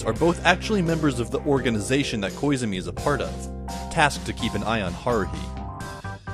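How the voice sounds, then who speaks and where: A man playing a wry high school boy who narrates an audio drama in the first person, musing as he goes. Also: natural voice.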